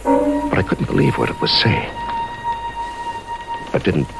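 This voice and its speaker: An actor playing an anguished, fearful man in a radio drama, with halting, pleading speech. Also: low-pitched, huge pitch swings, gravelly, full character voice. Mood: haunted, brooding. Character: anguished man in pain, fearing his wife will kill him. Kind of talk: radio drama acting with first-person narration. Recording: old radio drama broadcast, loud music behind.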